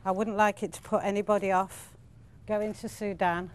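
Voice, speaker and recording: Even-toned British woman. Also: medium pitch, normal speed. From Britain. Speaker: school teacher being interviewed. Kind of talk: spontaneous press remarks. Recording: news clip with faint background noise.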